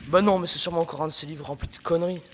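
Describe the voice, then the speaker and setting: A man's voice: fairly high pitch, fairly even intonation, racing, lightly acted. Young man as pompous old priest, echoing hall. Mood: scornful. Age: teenager, high-school student. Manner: grumbling, declamatory. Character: pompous old village priest, scornful book burner. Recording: reverberant room, noisy background.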